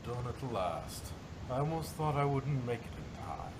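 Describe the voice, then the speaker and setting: A man's voice: animated, unhurried, close to an everyday voice. Man voicing a dramatic, genteel lady unicorn. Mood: weary relief. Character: refined, dramatic female unicorn seamstress. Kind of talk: fan fiction character reading. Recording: noisy home recording with rumble.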